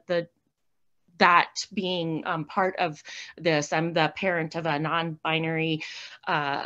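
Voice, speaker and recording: A woman's voice: lowish voice, fairly bright tone, dull audio. A woman participant sharing a thoughtful reflection in a workshop over a video call, hesitant and searching for words. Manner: hesitant, many fillers and restarts, thoughtful.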